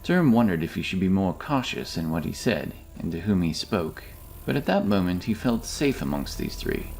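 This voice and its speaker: Male narrator: very low, animated delivery, measured dramatic fantasy storytelling, music underneath. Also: creaky, low-quality recording.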